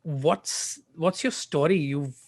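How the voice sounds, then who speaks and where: A woman hosting a podcast interview. She asks questions in a relaxed way and gives soft, encouraging murmurs while her guest talks. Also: low-pitched, takes it slowly, husky. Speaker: podcast host. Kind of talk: casual interview.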